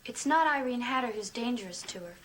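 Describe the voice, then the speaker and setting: An actress playing a calm, righteous young woman who guards against evil, speaking in a measured, solemn gothic soap opera style. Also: fairly high pitch, a little hiss or hum. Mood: concerned. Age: young adult.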